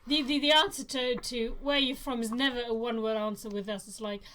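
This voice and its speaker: A woman born in Germany and living in the UK, co-hosting a casual podcast chat with quick asides and jokes. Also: a little high, fairly even intonation, a bit bright, slight background noise. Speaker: podcast co-host.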